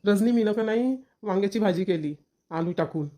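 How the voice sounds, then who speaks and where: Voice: medium pitch, normal speed, dark tone, close to an everyday voice. A performer voicing an easygoing young village husband in a family cartoon, homely and conversational. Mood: casual, interested.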